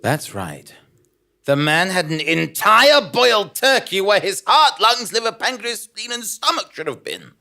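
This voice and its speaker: A man performs a bumbling, excitable investigative journalist in a comic audio drama. His delivery is hugely animated and melodramatic, with a bright, forceful edge. He takes his time over the narration and exclaims theatrically. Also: high-pitched, measured pace, exaggerated voice. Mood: dramatic bafflement. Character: overexcitable blundering male investigative journalist narrating his adventure.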